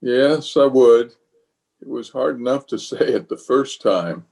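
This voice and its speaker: A man's voice: medium pitch, unhurried, gravelly, a little mellow. A man playing a pompous, flustered middle-aged bachelor uncle in an old-time radio comedy, exasperated and hemming and hawing. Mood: nervous hedging.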